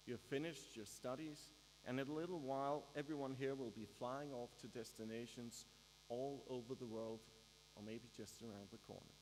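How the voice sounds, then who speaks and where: Guy doing a graduation speech in a big echoey hall, hum on the recording, deliberate with pauses. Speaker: graduation ceremony speaker. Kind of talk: formal commencement speech. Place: large hall at a university ceremony, mains hum behind. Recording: echoey hall, humming low-quality live-stream.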